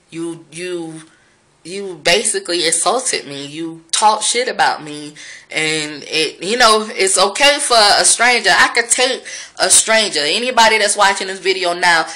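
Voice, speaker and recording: A woman's voice: on the low side, pushed and bright, poor audio. A woman, talking frankly and profanely to her viewers in a reflective vlog monologue. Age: 30s.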